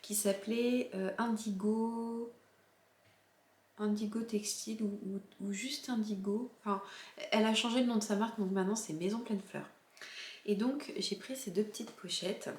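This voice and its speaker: A woman's voice: mid-range voice, fairly even intonation, normal speed, a little mellow, roomy sound. A woman from southern France hosting a knitting podcast, showing her purchases in a casual, hesitant way with many fillers and restarts. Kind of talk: casual show-and-tell chat.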